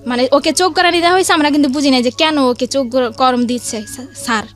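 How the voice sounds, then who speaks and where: High-pitched Bangladeshi woman recounting events. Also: fast, soft background music. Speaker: guest recounting a family incident. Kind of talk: spontaneous personal account.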